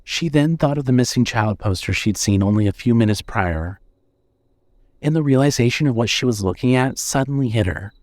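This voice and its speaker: Man, horror fiction narrator, measured and suspenseful, scripted delivery, dry sound. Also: mid-range voice, animated, darkish tone, dry recording.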